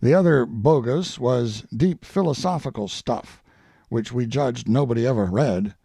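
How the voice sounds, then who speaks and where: Male audiobook reader, lively intonation, gravelly creak, deliberate pauses, scripted humorous narration. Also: mid-range voice, dry recording.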